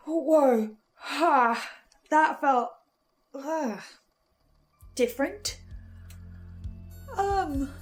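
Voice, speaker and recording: A woman's voice: sits high, pitched above the performer's own voice. Woman playing an earnest, hesitant apocalypse survivor. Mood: shaken, sincere. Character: troubled, defiant woman archivist surviving a supernatural apocalypse. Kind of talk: horror audio drama monologue.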